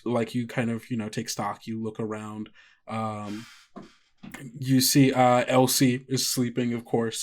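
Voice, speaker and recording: Unhurried man narrating a game. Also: mid-range voice, darkish tone. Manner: halting, full of fillers. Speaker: game master.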